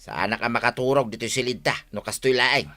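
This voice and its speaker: Man acting sharp-voiced grumbling Ilocano husband. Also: mid-range voice, fast, close to an everyday voice. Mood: uneasy, grumbling. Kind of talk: Filipino radio comedy drama acting.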